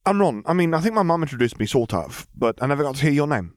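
A man's voice: on the high side, very animated, fast, pitched above the performer's own voice. A man doing an eager, awestruck young boy. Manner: eager, stumbling questions. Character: eager eleven-year-old boy, excitable and awestruck.

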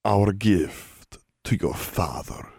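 Man voicing a slow, menacing, raspy ancient elf. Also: expressive, darkish tone, no room sound, full character voice. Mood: solemn, commanding. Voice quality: low, dry rasp. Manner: slow, weighty, formal pronouncements. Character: menacing ancient elf leader, cold and solemn.

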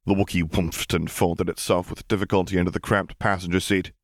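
A man's voice: sits low, level tone, brisk, no room sound, clean studio sound. A man reading scripted fiction aloud as an audiobook narrator.

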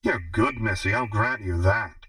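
A man's voice: fairly low pitch, a little slow, sharp tone, dry recording, clean studio sound, distant mic. A man voicing a smug, menacing female crime boss in an audiobook, with a condescending, mocking drawl. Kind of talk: audiobook character dialogue.

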